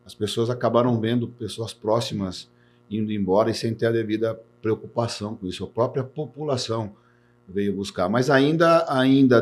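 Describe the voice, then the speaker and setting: Man, forties, from Brazil, low-pitched, husky, earnest interview guest. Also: a little mellow. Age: 40s. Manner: earnest, repeats words, tags sentences with "né". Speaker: insurance executive guest. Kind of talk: podcast interview talk.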